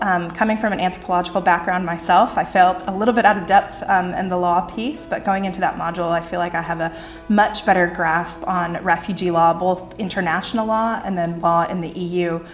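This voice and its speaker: A woman's voice: on the low side, a little fast. A woman from Texas, an anthropology doctoral student, speaking articulately with a few fillers in a seated interview. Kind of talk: interview testimony. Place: indoor room with curtain backdrop. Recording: roomy echo, faint music.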